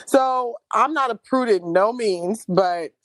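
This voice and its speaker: A woman's voice: a little high, takes it slowly, no echo. A woman from Houston with a Houston accent, co-hosting a podcast in casual, candid chat. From Houston, Texas. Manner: animated, candid, laughs often. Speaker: podcast co-host.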